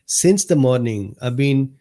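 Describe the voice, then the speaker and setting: A man with a mellow, slightly hoarse voice hosts a live stream from his desk mic. He reads viewer comments aloud in an unhurried, easygoing way. He laughs often and answers viewers by name. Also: medium pitch, animated. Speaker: host of a live medical talk stream. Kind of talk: casual livestream chat reading viewer comments.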